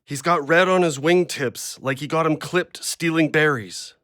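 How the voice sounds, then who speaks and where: A hard-up, injured ex-logger in an audio drama. His voice sits high for a man and is recorded very dry. He talks bluntly and rambles, agitated and fiercely attached to his dog. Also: a little slow, fairly bright tone, no room sound. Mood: rambling, proud. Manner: blunt, rambling, plain-spoken. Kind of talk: audio play acting.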